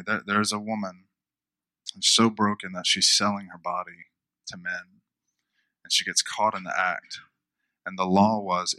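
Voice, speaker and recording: A man preaching a conversational sermon, repeating phrases for emphasis, with a smooth radio-style voice. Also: low voice, bright, forceful tone, no echo, poor audio. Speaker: pastor.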